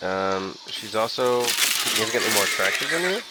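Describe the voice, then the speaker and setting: A young man trading dry, deadpan comebacks with a friend over a game. His voice sits on the low side but swings widely in pitch. Steady noise hums behind him. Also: normal speed. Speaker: co-player. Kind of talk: casual joking chat while gaming. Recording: noisy home stream.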